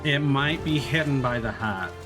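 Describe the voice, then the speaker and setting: A man's voice: medium pitch, normal speed, music in the background. A young man streaming from home, chatting casually with viewers, rambling through fillers and restarts and swearing freely. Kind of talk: casual live-stream chat.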